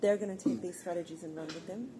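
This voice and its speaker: A middle-aged woman from Australia answering interview questions in a thoughtful, earnest, measured way. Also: on the low side. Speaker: guest, founder of an organization. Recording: background ambience noise.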